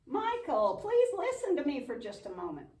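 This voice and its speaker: Woman playing a high, lively, earnest puppet friend. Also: normal speed. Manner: earnest, explanatory, chiding. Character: earnest, patient, bossy young best-friend puppet. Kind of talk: church puppet show acting. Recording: echoey room, distant mic.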